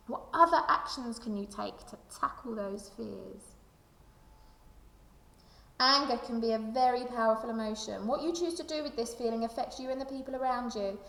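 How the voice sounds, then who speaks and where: A woman's voice: on the high side, creaky, a bit bright. A woman, a primary school teacher, reading a children's book aloud and speaking directly to young listeners. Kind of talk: expressive children's storybook read-aloud with reflective questions. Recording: echoey room with long reverb.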